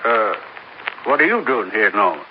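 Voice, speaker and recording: An actor playing a tough middle-aged police lieutenant in a 1950s radio drama, brisk and gruff. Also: male voice, on the low side, very animated, lo-fi sound. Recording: hissy old radio broadcast.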